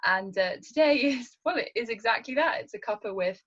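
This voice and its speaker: A playful woman hosts a relaxed podcast chat and teases her guest. Her voice swings up and down with a slightly raised pitch. The sound is dull and lo-fi. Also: a little fast, slightly rough. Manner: playful, teasing, laughs easily. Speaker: podcast host. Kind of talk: casual chat.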